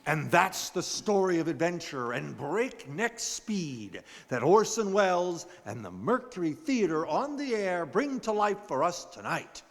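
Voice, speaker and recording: A man's voice: high-pitched, very animated, measured pace, hardly any pauses. A man announcing a radio drama in a scripted introduction. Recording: reverberant hall.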